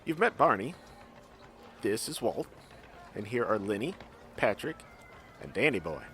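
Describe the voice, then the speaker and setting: A man's voice: lowish voice, very animated, measured pace, fairly bright tone, a little hiss or hum. A man playing a skeptical but jovial Old West mine boss in an audio drama, folksy and a little condescending.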